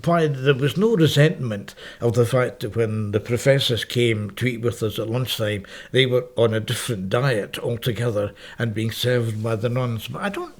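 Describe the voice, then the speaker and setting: A man's voice: mid-range voice, normal speed, hardly any pauses. A man in his seventies with a Scottish accent, recalling his school days in a reflective, wry interview, often correcting himself mid-sentence. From Scotland. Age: 70s. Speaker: interviewee, professor. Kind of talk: oral history interview, reminiscing. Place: parish house room, indoors.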